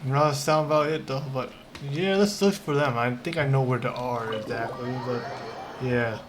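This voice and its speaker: A woman's voice: very deep voice, moderate pace, background noise. A woman game streamer giving casual, offhand, rambling commentary as she plays. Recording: game music behind the voice.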